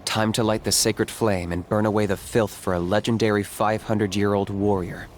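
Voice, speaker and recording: A man voicing a calm, reserved young hunter who speaks in a measured, matter-of-fact way. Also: on the low side, slight background noise, lightly acted.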